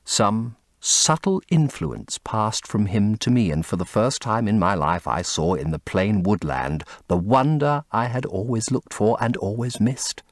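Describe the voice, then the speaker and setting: Man acting impassioned painter, animated voice. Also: on the low side, unhurried, few pauses, no room sound, clean studio sound. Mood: fervent. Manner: impassioned, rhapsodic, confessional. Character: earnest, intense male painter, devoted and anguished. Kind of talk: dramatic audiobook character acting.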